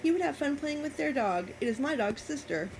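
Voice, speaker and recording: Woman w a highish voice reading a kids story out loud, amused lil asides, bit of noise behind. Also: animated, fairly quick, low-quality recording. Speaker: author reading own childhood story. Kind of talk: informal read-aloud with amused commentary.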